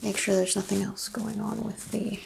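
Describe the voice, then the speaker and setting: A soft-spoken woman doing an ASMR doctor roleplay, asking unhurried exam questions and slipping into whispers. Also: medium pitch, little pitch movement, gravelly, a little hiss or hum.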